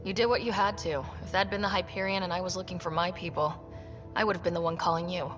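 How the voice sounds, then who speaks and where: A woman's voice: lowish voice, steady tone, fast, fairly bright tone, highs cut off, soft background music, natural voice. An actress playing a determined, earnest young female leader of space explorers in a video game. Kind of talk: video game cutscene acting.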